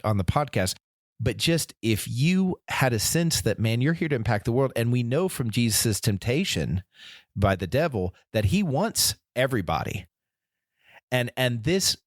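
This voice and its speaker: A man's voice: medium pitch, no echo, studio quality. A man co-hosting a casual faith podcast, talking earnestly with frequent restarts and quoted imagined voices. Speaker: podcast co-host.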